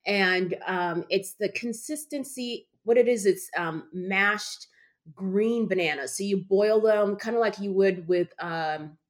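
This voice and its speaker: A woman in her forties from Uganda, a guest author telling her story in a conversational interview, bubbly when excited and earnest about her faith. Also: medium pitch, slow. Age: 40s.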